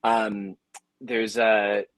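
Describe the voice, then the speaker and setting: A man's voice: on the low side, little pitch movement, very slow, a bit bright, no echo. A man giving casual, encouraging explanations as a panelist, in a conversational way. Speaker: podcaster and audio creator, panelist.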